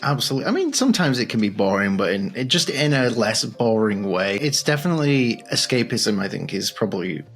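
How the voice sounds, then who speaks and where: A man's voice: lowish voice, very animated, a little fast, hardly any pauses, faint music behind. Man hosting a conversational podcast interview. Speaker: podcast host.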